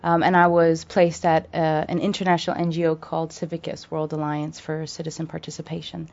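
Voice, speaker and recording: A woman's voice: deep voice, level tone, fairly quick, darkish tone, highs cut off. An American woman speaking as a radio guest, thoughtful, with many fillers and restarts. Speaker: guest from a charity. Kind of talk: radio interview.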